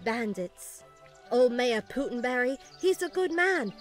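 A woman's voice: on the high side, big pitch swings, measured pace, faint music behind. An actress playing a distressed, folksy village woman telling of bandit raids in a fantasy game. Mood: plaintive, aggrieved.

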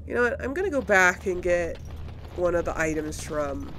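A woman's voice: on the low side, big pitch swings, moderate pace, a bit bright, slight background noise. A woman giving casual gameplay commentary, thinking aloud and often questioning herself as she goes. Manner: musing, self-questioning. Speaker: let's play host.

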